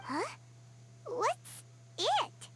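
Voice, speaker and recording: A woman voicing a tiny, nosy fairy companion in a game cutscene, eager and quick to react. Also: extremely high pitch, wildly swooping pitch, a bit bright, a little hiss or hum, full character voice. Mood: curious. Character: tiny floating fairy companion, excitable and chatty.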